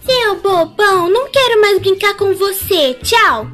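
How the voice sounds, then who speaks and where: Very high-pitched feisty little cartoon girl. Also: animated, a little slow, fairly bright tone, faint music behind, heavily acted. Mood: annoyed. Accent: Brazilian. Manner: emphatic, exclamatory. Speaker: amateur fan dubber. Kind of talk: cartoon fandub acting.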